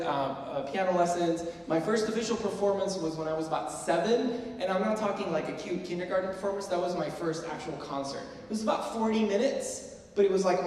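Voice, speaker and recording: A man in his forties from Sweden, presenting a workshop to music students in a chatty, self-deprecating way with plenty of fillers. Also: high voice. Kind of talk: casual lecture to students. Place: lecture hall. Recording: roomy hall, mic far off.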